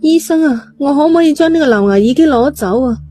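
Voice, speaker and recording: A woman's voice: high voice, lively intonation, fast, mellow, soft background music, voice pushed up. A woman voicing a brash, hot-tempered young daughter in an audio story, blunt and slangy, with a Hong Kong Cantonese accent. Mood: agitated questioning.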